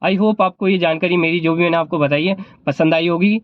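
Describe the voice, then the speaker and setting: High-pitched, fast-talking man with a sore throat. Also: dry recording, phone-quality sound. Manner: explanatory, repeats points. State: sore throat, not speaking at full volume. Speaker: vlogger and cab driver. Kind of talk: informal advice vlog talk.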